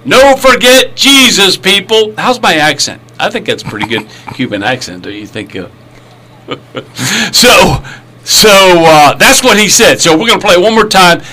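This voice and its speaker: A man's voice: fairly high pitch, big pitch swings, normal speed, sharp tone, slight background noise. A man hosting a casual podcast chat, rambling and joking with lots of fillers. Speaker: podcast host.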